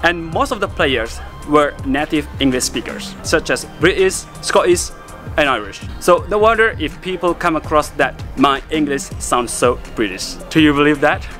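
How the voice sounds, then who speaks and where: Indonesian guy hosting, fairly high voice, earnest and a bit formal, soft music under. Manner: earnest, explanatory, slightly formal English. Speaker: host. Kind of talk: talking to camera, then interviewing. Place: outdoors in a park, then indoors on a sofa.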